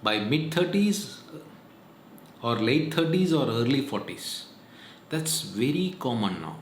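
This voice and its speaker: A man's voice: mid-range voice, sing-song, slow. A man, giving earnest, repetitive health advice in a casual vlog monologue. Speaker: vlogger. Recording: echoey room, faint noise.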